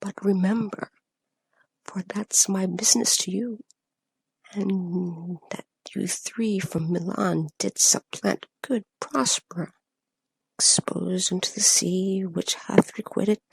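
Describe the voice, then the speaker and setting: An airy spirit servant from a Shakespeare radio play, acted by a woman. The voice is breathy and often sinks into whispering. The lines come slowly and measured, in a dry recording. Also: medium pitch, dry recording, full character voice, rough recording. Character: Ariel, airy spirit servant, menacing minister of fate, eager to please.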